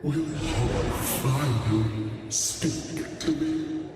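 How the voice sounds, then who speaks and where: Echoing, very slow chained spirit. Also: male voice, mid-range voice, heavy background noise. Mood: pleading. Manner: slow, drawn-out, imploring and commanding. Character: chained, tormented male spirit begging and demanding release. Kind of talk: dramatic video game acting. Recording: echoing, reverberant, distant, under loud music.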